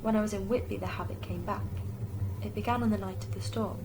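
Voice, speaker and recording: A woman's voice: medium pitch, steady tone, fast, natural voice. Woman acting a frail young Victorian lady, noisy background. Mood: weak, troubled. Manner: weary, gentle. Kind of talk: radio drama acting. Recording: clearly noisy audio.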